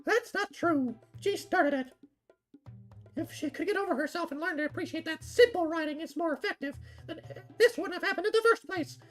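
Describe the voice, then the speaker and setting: High, bratty anime girl. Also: a little fast, soft background music. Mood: angry, scornful. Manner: snappy, indignant, taunting. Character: bratty, hot-tempered, cutesy teenage anime girl, sharp-tongued. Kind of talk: visual novel character voicing.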